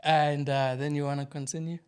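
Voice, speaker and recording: A man's voice: fairly high pitch, flat delivery, brisk, a little mellow, clean tone. A man from Durban with a South African accent, a podcast guest candidly telling his story with some jokes.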